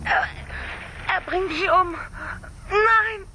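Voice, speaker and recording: Terrified screaming woman, radio play, very high, piercing bright, drawn-out. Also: a little hiss or hum, exaggerated voice, rough recording. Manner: shrill screaming.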